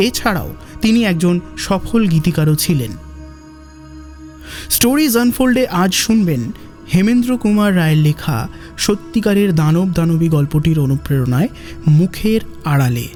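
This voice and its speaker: A male host delivers a scripted introduction to an audio story. His voice is high for a man, and music plays behind it. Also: darkish tone.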